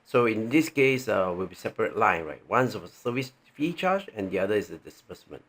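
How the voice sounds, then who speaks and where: A man with a Malaysian accent giving an informal tutorial, thinking aloud haltingly and often checking in with the listener. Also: on the low side, sing-song, a bit bright. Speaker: tax training presenter. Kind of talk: informal tutorial walking through on-screen examples.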